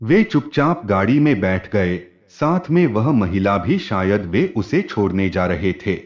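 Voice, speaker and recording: A man with a fairly low voice tells a scripted story. His intonation moves up and down a great deal. The recording is dull and lo-fi. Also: very animated, measured pace, darkish tone. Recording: dull, muffled lo-fi audio.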